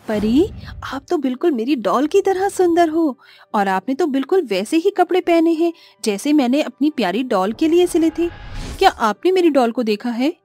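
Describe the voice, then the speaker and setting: Woman as naive young girl, high voice, earnest, exclaiming, cartoon moral story, music behind. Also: expressive, normal speed, mellow. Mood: polite, warm. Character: Sheetal, sweet, naive, eager young college girl. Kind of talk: animated moral-story voice acting.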